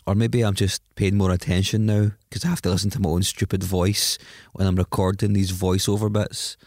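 A man's voice: sits low, level tone, brisk, darkish tone, no room sound, studio quality. A Scottish man with a head cold hosting a podcast, talking in a chatty, rambling and self-deprecating way. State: has a cold, sniffly. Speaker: podcast host. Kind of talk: solo podcast intro monologue.

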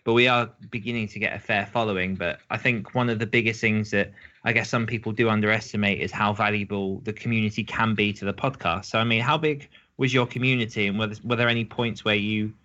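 A man with a fairly low but bright voice co-hosts a podcast. His talk is casual interview chat, on a slightly dull recording. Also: steady tone, a little fast. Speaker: podcast co-host.

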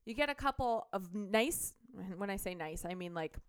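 Wry woman with a smooth voice. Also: mid-range voice, animated, no echo, studio quality. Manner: wry, quick with jokes and asides. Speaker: podcast co-host. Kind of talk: casual chat.

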